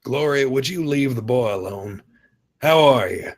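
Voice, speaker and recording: A man's voice: medium pitch, expressive, unhurried. A man playing an easygoing, hospitable father in his fifties in a screenplay table read. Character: easygoing, hospitable father aged fifty-five, Jack.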